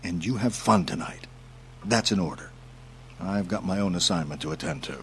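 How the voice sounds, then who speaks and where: An actor playing an irreverent covert boss in an audio drama, husky and casual, with a teasing, enthusiastic way of talking. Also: male voice, low voice, normal speed, fairly bright tone, a little hiss or hum. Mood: insistent, confiding. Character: playful, irreverent superior agent, a covert male boss.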